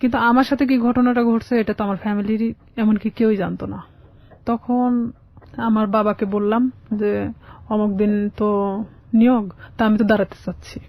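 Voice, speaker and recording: A woman's voice: mid-range voice, fairly even intonation, fairly quick, long pauses, no echo, highs cut off. A young woman with a Bangladeshi Bengali accent telling a personal life story as a guest in a radio interview. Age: young adult.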